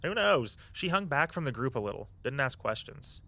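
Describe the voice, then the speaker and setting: Guy playing laid-back young creative friend in an audio drama, casual chatty, gently earnest, mid voice. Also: mid-range voice, sing-song, normal speed, creaky, exaggerated voice. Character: easygoing, loyal young creative tour guide friend. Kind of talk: naturalistic audio drama dialogue.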